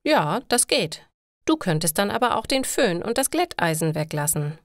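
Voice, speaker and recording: A woman's voice: medium pitch, sing-song, normal speed, no room sound, studio quality, lightly acted. A woman voicing an irritable, put-upon young man in an audio story, polite but firm and plainly protesting. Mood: defensive.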